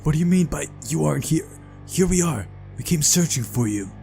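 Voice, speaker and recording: An earnest young man, the hero of a visual novel, acted out by a male reader, speaking higher than a relaxed talking voice. He is urgent and insistent, with worry in his manner and faint music behind. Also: fairly quick, gravelly. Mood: exasperated urgency.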